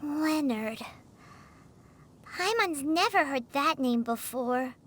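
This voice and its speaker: A woman's voice: high-pitched, expressive, takes it slowly, fairly bright tone, slight background noise, heavily acted. A woman voicing a small, childlike, eager fairy companion in a video game. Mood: earnest. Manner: refers to self by name, exclaiming.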